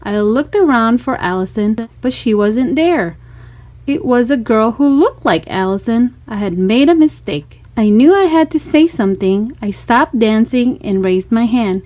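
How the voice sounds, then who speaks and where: Woman reading a kids story out loud, kinda high voice, expressive. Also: unhurried. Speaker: storybook narrator. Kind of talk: expressive read-aloud for children.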